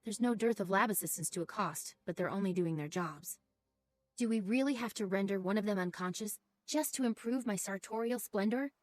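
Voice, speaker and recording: A woman's voice: medium pitch, a little fast, no echo, close to an everyday voice. An actress playing a witty, wordy young female engineer adventurer in a fantasy video game, with an arch manner. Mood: arch, self-assured.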